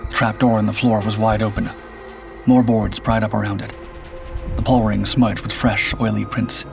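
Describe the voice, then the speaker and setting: Low, creaky male horror narrator. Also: flat delivery, normal speed. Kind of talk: scripted first-person suspense narration. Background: background music.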